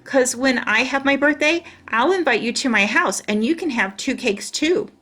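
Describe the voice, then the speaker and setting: A woman's voice: sits high, normal speed, bright, forceful tone, pitched above the performer's own voice. A woman voicing a chatty, boastful, stubborn young schoolgirl in a children's storybook, with emphatic childlike wording. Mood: consoling, eager. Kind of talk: children's storybook read-aloud.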